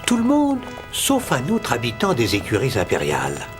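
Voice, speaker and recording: A man narrating a children's animated fairy tale in a scripted storybook style. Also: medium pitch, normal speed, music in the background.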